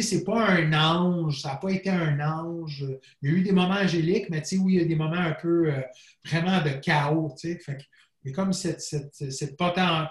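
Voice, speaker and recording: A man's voice: fairly high pitch, echoey room. A man, a coach talking in a casual podcast conversation, rambling and reflective and slipping in English phrases. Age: 40s. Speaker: guest, bodybuilding coach.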